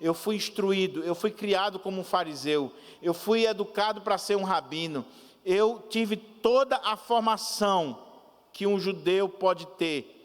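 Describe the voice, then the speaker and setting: A man's voice: sits high, a little slow, in a large hall. A man preaching as he voices a proud, zealous ancient apostle, emphatic and asking indignant questions. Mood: earnest.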